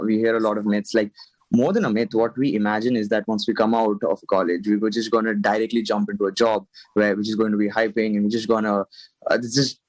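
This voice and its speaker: A man's voice: fairly low pitch, dry recording, poor audio. A young man from India on a panel, speaking earnestly with many fillers. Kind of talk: casual panel talk.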